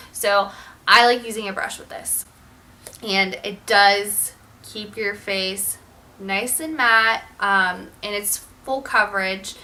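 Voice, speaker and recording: A young woman with a bright, forward voice reviews makeup in an easy, candid chat. She sounds a little nasal from a lingering sinus infection, and her talk rambles with ums and likes. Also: medium pitch. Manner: chatty, candid, peppered with um and like. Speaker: beauty vlogger. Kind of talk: casual product review chat.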